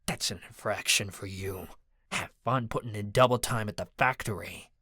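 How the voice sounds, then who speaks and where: Rich: a man putting on a stern male jailer with a low, growling bark. Every word is a flat command, recorded dry and close. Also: normal speed, husky, no echo. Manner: low growling, barked orders. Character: harsh male prison guard, gruff and commanding.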